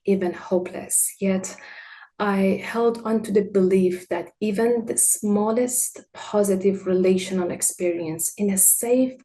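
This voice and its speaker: A woman whose first language is Farsi, presenting a clinical case study as an art therapist in a measured, gentle way. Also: medium pitch, rough recording. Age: adult with a child. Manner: measured, gentle, partly read from notes.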